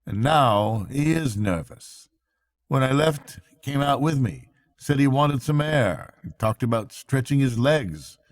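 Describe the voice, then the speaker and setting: A worried water rat from a bedtime storybook, acted out by a man. The voice is lifted high and confides gravely and earnestly, like a kind friend sharing troubles. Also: expressive, unhurried. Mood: grave, anxious. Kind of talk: bedtime storybook character reading.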